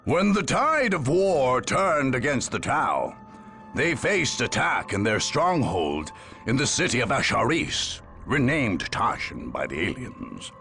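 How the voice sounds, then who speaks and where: A man acting as a solemn, measured war chronicler, narrating a sci-fi game saga in a theatrical style. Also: mid-range voice, creaky, bright, forceful tone, heavily acted. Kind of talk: dramatic scripted lore narration for a war game.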